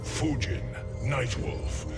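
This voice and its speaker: A man playing a dutiful, stoic henchman in a video game, formal and deliberate in delivery. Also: loud music behind the voice. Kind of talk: video game villain acting. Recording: loud score behind.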